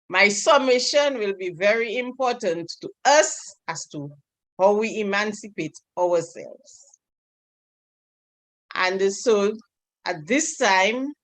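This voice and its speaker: Dominican woman, meeting lead on a video call; measured, earnest, slow, dry sound. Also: mid-range voice, dry recording. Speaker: meeting's toastmaster of the day. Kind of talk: club meeting presentation over a call.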